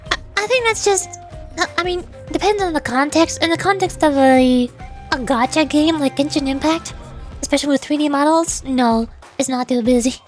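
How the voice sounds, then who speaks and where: A woman's voice: high-pitched, normal speed. A woman livestreaming a video game with casual, chatty commentary, thinking aloud as she plays. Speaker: VTuber game streamer. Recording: game music behind. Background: faint game music.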